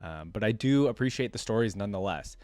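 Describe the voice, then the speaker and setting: A man's voice: on the low side, animated, fast, a little mellow, no echo. A man hosting a reaction video in casual chat, informal and thinking aloud as he goes, often repeating himself.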